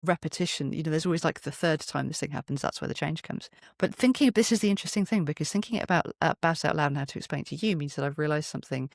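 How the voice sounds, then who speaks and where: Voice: medium pitch, expressive, fast, gravelly, hardly any pauses, dry recording, studio quality. A podcast guest chatting in an interview, explaining things from experience with frequent asides and self-interruptions. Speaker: podcast guest, storyteller and voice performer.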